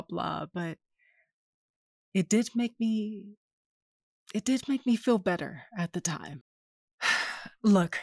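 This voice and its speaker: A woman's voice: medium pitch, no room sound. A woman playing a wry, blunt aunt and battle-hardened veteran in an audio drama, laid-back and dry-humored.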